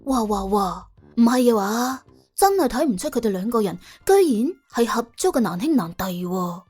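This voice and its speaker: Female audiobook narrator, Cantonese, higher register, animated dramatic delivery with a wry, chatty edge. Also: normal speed, husky, faint music behind. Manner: wry, colloquial, exclamatory. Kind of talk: dramatic scripted storytelling.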